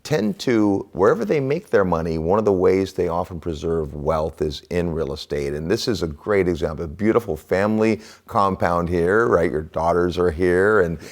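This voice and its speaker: Deep gravelly male radio host. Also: moderate pace, few pauses. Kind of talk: radio talk show interview.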